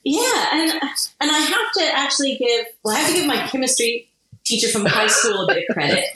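A woman from Kansas in her forties, answering interview questions in an animated way and laughing often. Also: high voice, moderate pace, long pauses. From Kansas, USA. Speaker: guest, product founder. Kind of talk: interview conversation. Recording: very echoey room, faint noise.

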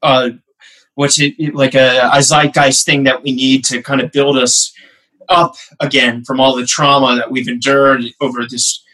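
A man's voice: mid-range voice, flat delivery, normal speed, bright, forceful tone, poor audio. A man interviewing a guest casually, often cutting in with short agreements and encouragement.

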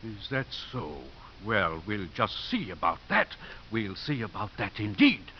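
An actor playing a stubborn, spirited elderly priest and college rector in a 1950s radio drama. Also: male voice, lowish voice, very animated, measured pace, lo-fi sound. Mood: defiant. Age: older. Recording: hissy 1950s radio recording.

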